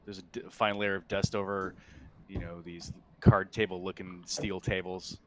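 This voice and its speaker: A man acting as game master, narrating scenes in a casual, halting, conversational way. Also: deep voice, flat delivery, fairly bright tone, slight background noise.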